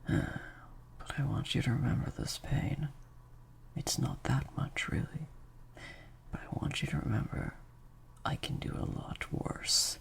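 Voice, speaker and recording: A man acts out a sadistic vampire master in an intimate audio roleplay. His voice is low and heavily creaky, often slipping into a whisper. He draws out his words slowly, taunting and praising with menacing softness, the pitch swinging expressively. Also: big pitch swings, full character voice. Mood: amused, sadistic. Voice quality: breathy, creaky, mostly whispered. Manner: slow, drawn-out, softly taunting. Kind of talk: improvised ASMR-style audio roleplay.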